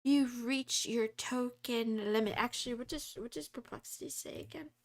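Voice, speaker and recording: A woman's voice: a little high, normal speed, gravelly. A woman live-streaming while she codes, chatting casually and rambling with lots of fillers, talking to her viewers and laughing often.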